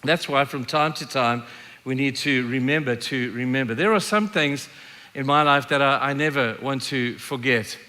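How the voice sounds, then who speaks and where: A man's voice: mid-range voice, normal speed, pushed and bright. A man in his sixties from Zimbabwe preaching a sermon, telling stories and reading scripture with emphatic repetition of key phrases. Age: 60s. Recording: reverberant hall sound.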